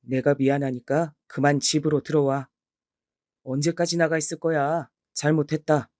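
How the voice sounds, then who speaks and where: A woman's voice: low-pitched, level tone, normal speed, darkish tone, dry recording, studio quality, voice pushed down, lightly acted. Stubborn middle-aged husband, read by a woman; curt, plain delivery.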